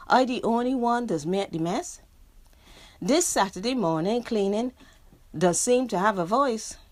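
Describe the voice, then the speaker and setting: A woman's voice: mid-range voice, no echo. A middle-aged woman with a Barbadian accent, a poet reading her dialect poems with rhythm and then talking about her book as a radio guest. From Barbadian family heritage, living in England. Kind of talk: poetry reading and radio interview.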